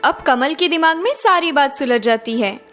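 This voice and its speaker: A woman with a high-pitched voice delivers scripted storytelling narration for a cartoon. Light music plays beneath her. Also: animated, moderate pace, a bit bright.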